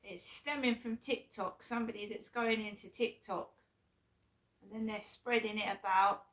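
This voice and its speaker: A middle-aged woman with a sore throat, talking casually to camera in a rambling, halting way full of ums and restarts. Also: medium pitch, fairly even intonation, a bit bright. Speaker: vlogger.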